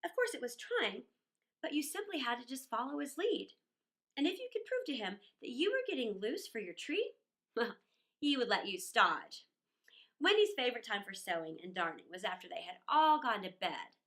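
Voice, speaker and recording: A woman reading a children's story aloud in an expressive, playful, theatrical manner with chatty asides. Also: a little high, normal speed.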